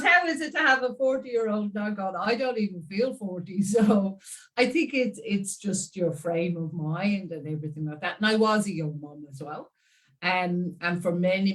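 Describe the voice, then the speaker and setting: A woman in her sixties with an Irish accent, a guest chatting about parenting in a lively, laughing way. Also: lowish voice, recorded from a distance, low-quality recording. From Ireland. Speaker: guest expert. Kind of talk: casual conversational interview.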